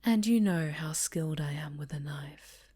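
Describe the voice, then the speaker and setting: A woman playing a sinister, possessive female superhero villain in an ASMR roleplay, speaking softly with sweet menace and often whispering. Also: deep voice. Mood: coldly menacing. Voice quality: soft, breathy, often whispered. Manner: slow, sweetly menacing, coaxing.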